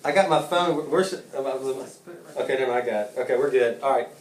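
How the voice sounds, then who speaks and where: A man's voice: medium pitch, fast talker, distant mic. A man in his thirties from Savannah answers questions casually, joking and laughing as he goes and restarting his sentences often. He sits back from the mic in a roomy, echoing space. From Savannah, Georgia. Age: 30s. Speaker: golfer.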